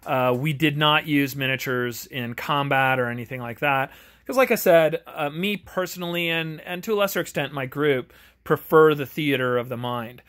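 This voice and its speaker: A man giving a casual, unscripted game review in a halting way, searching for words as he goes. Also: on the high side, a bit bright. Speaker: tabletop game reviewer and game master. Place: home garage.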